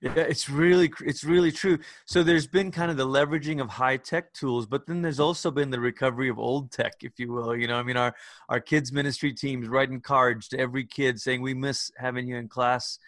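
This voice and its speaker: A man's voice: mid-range voice, fairly even intonation, a little fast, fairly bright tone, dry recording. A man from Malaysia, a church leader, talking casually and reflectively as an interview guest on a video call, often adding "you know".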